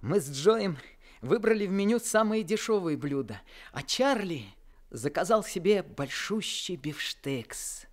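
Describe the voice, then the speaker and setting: A man's voice: high-pitched, expressive, a little slow. A young man narrating a radio play as the older brother, earnest and reflective.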